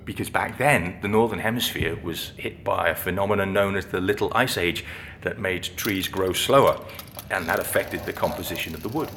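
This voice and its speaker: A friendly, thoughtful restorer with a fairly low voice. He explains his craft in an unhurried, natural audio-drama style, with faint ambient noise behind him. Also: creaky, a bit bright. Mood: thoughtful, enthusiastic. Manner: unhurried, explanatory, conversational with hesitations. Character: friendly, thoughtful male music-box restorer, fascinated by mysteries. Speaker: actor playing an interviewee.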